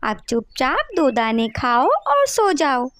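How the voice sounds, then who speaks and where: A woman's voice: high voice, wildly swooping pitch, slow pace, a bit bright, full character voice. A woman voicing a lazy, whining female crow wife in a Hindi cartoon story, argumentative in manner. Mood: annoyed. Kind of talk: Hindi animated moral story dialogue.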